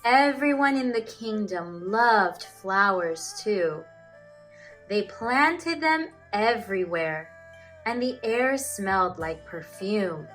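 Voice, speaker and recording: A woman teacher reads to children in an unhurried, deliberate storytelling voice. Her intonation is very animated, rising and falling to give phrases emphasis, with music present in the recording. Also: mid-range voice, rough recording. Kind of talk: expressive children's storybook reading.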